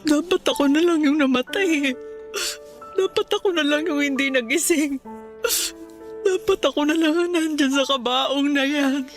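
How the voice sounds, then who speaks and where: A woman's voice: sits high, moderate pace, close to an everyday voice. An actress playing a grieving, bitter middle-aged mother in a Filipino radio drama, tearful and accusing. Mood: grieving, despairing. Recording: music bed under the voice.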